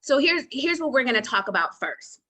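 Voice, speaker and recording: A woman with a bright, clear voice hosts a nutrition panel on a call. She speaks emphatically to her listeners, repeating key words as she motivates them. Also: high-pitched, big pitch swings, brisk, a little hiss or hum. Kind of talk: motivational talk to an audience.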